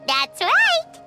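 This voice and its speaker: A woman's voice: extremely high pitch, heavily acted. A woman voicing a tiny, childlike fairy companion in a video game, chatty, naive and exclamatory. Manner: refers to self in third person, exclamatory. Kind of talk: video game character acting. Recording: loud music behind the voice. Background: loud game music.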